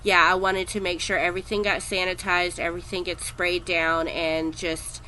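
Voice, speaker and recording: Stuffy-nosed woman with a cold, lowish bright voice, chatty with lots of ums, faint hiss behind. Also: moderate pace, low-quality recording. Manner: chatty, relaxed, with frequent ums. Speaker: cleaning vlogger. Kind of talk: casual voice-over narration.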